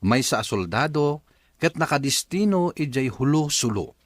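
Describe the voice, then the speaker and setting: A man's voice: medium pitch, very animated, a little slow, no echo. A man with a Filipino accent hosting a radio drama, narrating and reading letters from a script.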